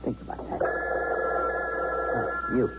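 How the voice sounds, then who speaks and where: A man's voice: takes it slowly, natural voice. An actor playing a cocky, volatile bank robber in a radio drama, with sarcastic, bossy, slangy tough-guy talk. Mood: menacing. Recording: dull, noisy 1970s radio broadcast.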